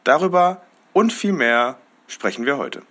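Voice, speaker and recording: A man hosting a podcast, asking questions in a relaxed, loose way with fillers. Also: fairly high pitch, unhurried, noisy background. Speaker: podcast host. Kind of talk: casual interview.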